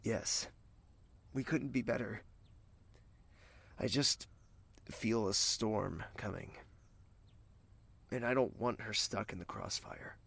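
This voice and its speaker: Man playing a brooding, slow-spoken, gravelly prince. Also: fairly low pitch, a bit bright, heavily acted. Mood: foreboding. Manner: measured, terse. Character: brooding, commanding young prince, calm and guarded. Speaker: voice actor in audio drama. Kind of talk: dramatic audio drama acting.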